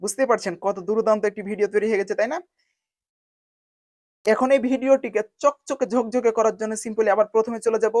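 A woman narrating a step-by-step software tutorial in an instructional manner, spelling out each step in repeated phrasing. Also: a little high, steady tone, a little fast, no echo. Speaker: video editing tutorial host.

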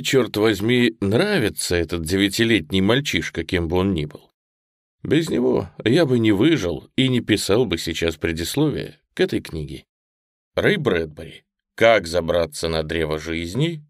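Deep-voiced man narrating an audiobook. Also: no room sound, studio quality. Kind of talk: scripted first-person narration.

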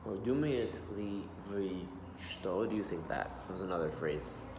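A man in his thirties with an American accent, practicing a new language aloud at his desk, repeating words slowly and haltingly. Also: deep voice, lively intonation, noisy background. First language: English. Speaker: language learner. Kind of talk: self-study vlog, reading phrases aloud. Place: small room indoors. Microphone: laptop webcam at desk. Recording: roomy echo with long reverb.

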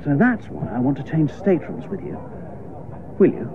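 Breezy upper-class English gent, very animated. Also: male voice, a little high, moderate pace, a crowd in the background. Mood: flustered, confiding. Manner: breezy, exclamatory, old-fashioned slangy. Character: breezy, chatty upper-class young gentleman idler. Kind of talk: radio comedy drama acting. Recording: muffled, noisy radio play recording.

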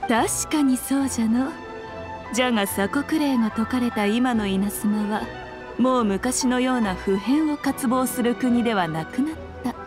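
A woman's voice: fairly high pitch, a little slow, music in the background, lightly acted. A woman voicing a sly, self-assured fox shrine maiden in a Japanese anime game, speaking in a lordly, archaic, teasing manner.

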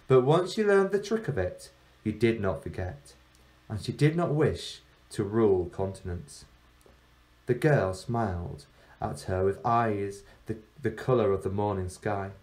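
Male teacher as storyteller: unhurried, animated intonation, expressive fairy-tale narration. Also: lowish voice, low-quality recording. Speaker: teacher reading a story to pupils.

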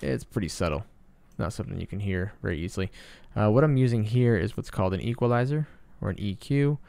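A man presenting a casual sound design tutorial in a low-key, explanatory way. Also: fast talker, vocal fry, warm tone, no room sound. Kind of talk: casual screen-recorded tutorial narration.

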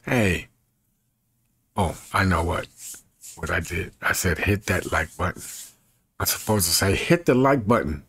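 A man in his fifties with a husky voice, chatting casually to camera in a folksy way and repeating himself as he demonstrates. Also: sits low, measured pace, pauses often, fairly bright tone. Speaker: evangelist and video creator. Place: small bedroom, indoors.

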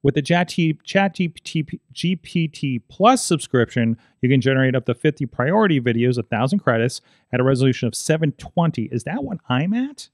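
A man in his forties hosts a casual tech podcast, wandering through his thoughts with plenty of ums and restarts. He speaks at an ordinary middle pitch into a close studio mic. The room around him is dead and dry. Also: lively intonation, brisk, few pauses, no room sound. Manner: rambling, many fillers and restarts. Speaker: podcast host. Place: small studio with foam panels. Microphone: studio mic on boom arm, headphones.

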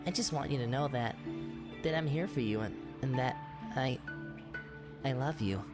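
Man as a blunt fighter over loud music. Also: medium pitch, normal speed, lightly acted. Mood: awkward, tender. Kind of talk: parody anime dub.

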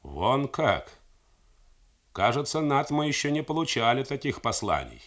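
A man's voice: medium pitch, sing-song, a little slow, a bit bright, voice pushed up. A man doing a sleepy, irritable navy captain. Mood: irritated. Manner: curt, grumbling.

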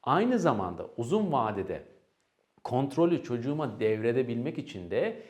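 Guy doing a parenting explainer, very animated pitch, asks questions then answers them like a teacher. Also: normal speed. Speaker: parenting educator presenting advice. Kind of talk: explanatory educational presentation to parents.

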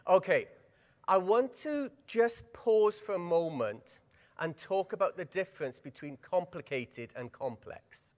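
A man's voice: sits high, unhurried. Welsh man presenting in a big hall. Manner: lively, engaging, asks the audience questions. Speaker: workshop presenter. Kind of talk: conference workshop talk. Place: large conference hall. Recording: long hall reverb.

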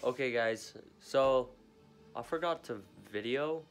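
A young man vlogging casually on a phone held at arm's length, joking and chattering quickly. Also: medium pitch, faint music behind. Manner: goofy, jokey, hurried chatter. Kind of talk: casual vlog. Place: house rooms and outdoors at night.

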